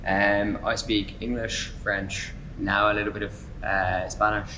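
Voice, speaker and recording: Young Irish man, twenties, interview guest; low-pitched, easygoing, filler-heavy delivery; noisy recording with rumble. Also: a little slow. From Ireland. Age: 20s. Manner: relaxed, frequent fillers like "um" and "like". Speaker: traveler guest. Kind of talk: casual conversational interview.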